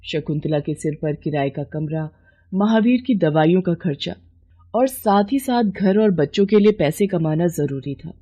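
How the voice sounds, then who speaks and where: A woman's voice: fairly low pitch, animated, normal speed, mellow. A woman narrator reading a scripted moral story in a storytelling style.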